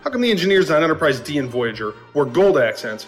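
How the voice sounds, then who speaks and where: A man's voice: fairly high pitch, sing-song, fast talker, faint music behind. A man playing a skeptical, pedantic sci-fi nerd, nitpicking sarcastically in scripted comedy dialogue. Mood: puzzled.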